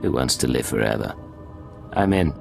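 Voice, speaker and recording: A man's voice: a bass voice, lively intonation, normal speed, creaky, darkish tone, heavily acted. A man playing a cool, unflappable Imperial secret agent in a sci-fi game, with clipped, composed delivery. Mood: cool, resolute. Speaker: player character in a sci-fi game. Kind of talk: dramatic game voice acting. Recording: dramatic music behind.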